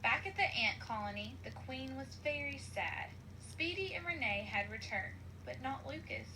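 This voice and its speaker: A woman reading a children's story aloud as scripted narration, in an expressive storytelling manner. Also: high voice, normal speed, a little hiss or hum, rough recording. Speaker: storybook reader.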